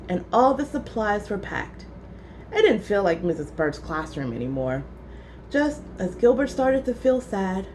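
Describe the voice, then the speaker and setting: A woman storyteller reading a children's book aloud, expressive and clearly phrased. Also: on the high side, a little hiss or hum, rough recording.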